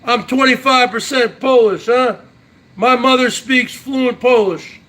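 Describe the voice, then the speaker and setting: A man's voice: extremely high pitch, measured pace, fairly bright tone, low-quality recording. A man in his forties hosting his own online show, chatting casually to viewers in a brash, boastful way and tagging his lines with "huh?". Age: 40s. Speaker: YouTube show host. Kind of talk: casual solo chat to viewers.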